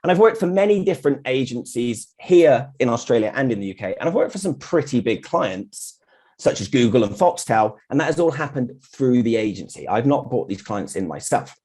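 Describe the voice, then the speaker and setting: A man's voice: medium pitch, very animated, fairly quick, darkish tone. A middle-aged British man giving an informal presentation from his own experience as a designer, in a candid, blunt way. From United Kingdom. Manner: candid, blunt, mildly sweary. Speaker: freelance graphic designer giving a meetup talk. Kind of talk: informal presentation with personal anecdotes.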